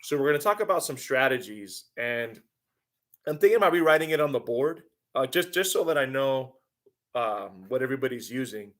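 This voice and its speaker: A man's voice: medium pitch, lively intonation, fairly quick, fairly bright tone. Man teaching an online class. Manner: repeats words, restarts sentences. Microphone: conference call.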